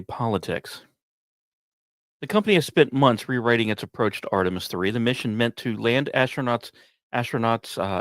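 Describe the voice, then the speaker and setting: Guy hosting a podcast, mid pitched voice, reads his script like a story w/ little asides, really dry sound. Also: no room sound. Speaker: podcast host.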